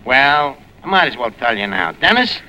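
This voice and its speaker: A man's voice: mid-range voice, big pitch swings, normal speed, bright, forceful tone. An actor in a 1940s radio comedy playing a brash, scheming, slangy middle-aged man who mangles his words. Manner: wisecracking, malapropism-prone, streetwise. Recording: very noisy vintage radio recording.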